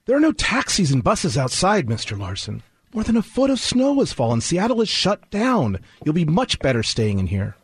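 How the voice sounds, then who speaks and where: An actor playing a fussy, courteous train station attendant in old-time radio drama, formal and persistently concerned. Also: male voice, on the high side, wildly swooping pitch, normal speed, breathy edge, no room sound, full character voice. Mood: polite, concerned. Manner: formal, polite service address.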